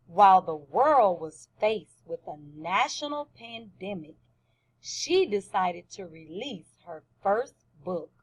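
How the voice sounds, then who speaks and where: A woman teaching first graders through a read-aloud, speaking deliberately and with emphasis, often questioning the children. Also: mid-range voice, slightly rough, low-quality recording.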